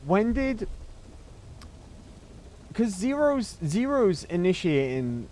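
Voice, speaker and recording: A man's voice: sits high, big pitch swings, slow pace, warm tone, slight background noise. A man giving casual livestream game commentary, emphatic and repeating himself as he thinks aloud. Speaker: game streamer.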